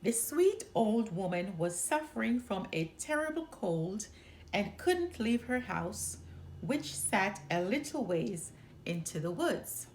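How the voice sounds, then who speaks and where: A woman reading a children's story aloud as a storyteller, in an expressive narrating style. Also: medium pitch, takes it slowly, a little hiss or hum. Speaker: storyteller and channel host.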